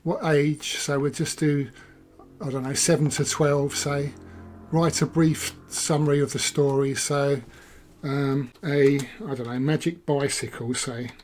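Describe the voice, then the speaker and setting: A hoarse man, casually talking through a software walkthrough and thinking aloud as he clicks. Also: fairly high pitch, fairly even intonation, a little slow, soft background music. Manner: rambling, self-correcting, thinking aloud. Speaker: software reviewer. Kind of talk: casual screen-recorded walkthrough.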